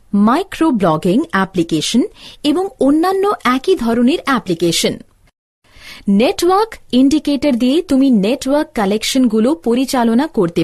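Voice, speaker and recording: A woman's voice: high voice, darkish tone, no echo, studio quality. A woman reading a scripted instructional narration as a tutorial narrator.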